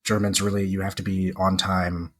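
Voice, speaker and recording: A man's voice: low-pitched, monotone, normal speed, a bit bright. A man co-hosting a podcast, chatty, laughing easily and stumbling over repeated words. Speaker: podcast co-host. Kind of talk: casual podcast chat.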